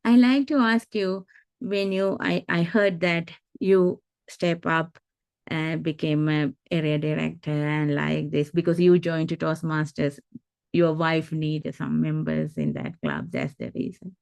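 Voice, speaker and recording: A woman from Sri Lanka, moderating a club event and asking an earnest question, halting and searching for words. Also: low voice, vocal fry, a little mellow, dry recording. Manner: halting, with fillers and restarts, earnest.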